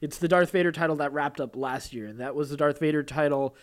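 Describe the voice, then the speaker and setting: A man's voice: fairly high pitch, quick pace. A man co-hosting a comics news podcast, chatty and casual, moving between banter and news items.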